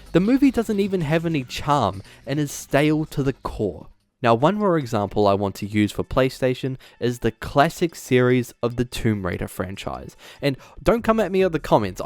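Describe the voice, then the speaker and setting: A man's voice: mid-range voice, darkish tone. A man giving scripted, opinionated commentary in a conversational, emphatic way. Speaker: YouTube video essayist, host. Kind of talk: scripted commentary on video game movies.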